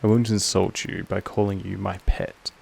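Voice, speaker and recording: A man voicing a possessive, patient, commanding vampire master in a roleplay audio monologue, speaking in a slow, deliberate way with weighty pauses. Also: level tone, darkish tone, a little hiss or hum. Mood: possessive, tender. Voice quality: low, creaky. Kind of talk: scripted roleplay audio monologue addressed to the listener.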